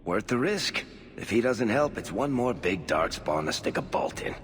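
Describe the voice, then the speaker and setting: Deep-voiced, offhand adventurer. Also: male voice, sing-song, moderate pace. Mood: nonchalant. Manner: offhand, wry. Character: cocky, pragmatic male companion, casual. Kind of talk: dramatic fantasy game acting. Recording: long reverb, background noise.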